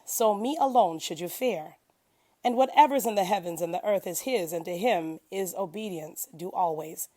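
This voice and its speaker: A woman with a fairly low voice reads scripture aloud in a devotional style. Her delivery is solemn and declamatory, leaning firmly on key phrases. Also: a little mellow. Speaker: scripture reader. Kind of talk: devotional read-aloud of Qur'an translation.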